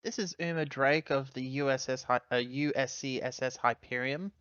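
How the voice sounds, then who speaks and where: A man with a smooth, mid-pitched voice takes part in a casual roleplaying session. He is wordy and a little halting as he says what his character does. The recording is dry and somewhat dull. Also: fairly even intonation, a bit bright, no room sound. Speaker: player in a tabletop game, voicing a female character.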